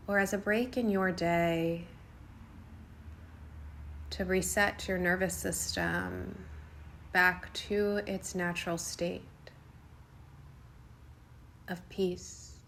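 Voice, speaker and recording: A woman guiding a yoga nidra meditation in a soothing, unhurried way, speaking in short phrases with long pauses. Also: fairly low pitch, expressive, a bit bright, a little hiss or hum. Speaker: meditation guide.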